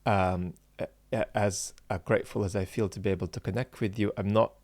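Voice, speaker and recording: A man in his forties, a political philosopher hosting his channel, talking unscripted to viewers in a halting, searching way with many fillers and restarts, earnestly stressing key points. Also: fairly low pitch, fairly even intonation, a little mellow, no room sound. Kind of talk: unscripted solo talk to viewers.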